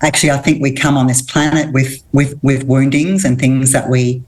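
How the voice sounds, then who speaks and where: A middle-aged Australian woman, a women's coach, answering interview questions in a thoughtful, earnest way with pauses and restarts. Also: rumbling low, fairly even intonation, a little mellow.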